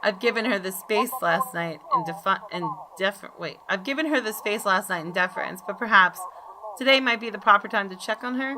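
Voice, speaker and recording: A woman reading visual novel story text aloud in a measured, expressive, deliberate way. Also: mid-range voice. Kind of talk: dramatic narration of story text. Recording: clearly noisy background.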